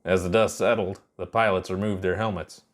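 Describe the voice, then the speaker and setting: Lower-pitched man narrating a story. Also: fairly even intonation, fairly quick.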